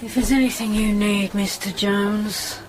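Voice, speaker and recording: An actress as a gothic hospital nurse, solemn and gloomy. She draws her words out very slowly at a middle pitch, in radio drama style. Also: fairly even intonation, natural voice.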